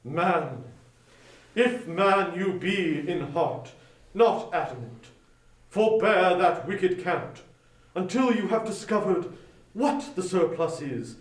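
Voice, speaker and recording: A man playing a solemn, stern male Christmas spirit in a dramatic reading, speaking in weighty, declamatory pronouncements, recorded with some distance. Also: high voice. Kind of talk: dramatic reading of a Victorian ghost story. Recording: roomy echo, distant from microphone.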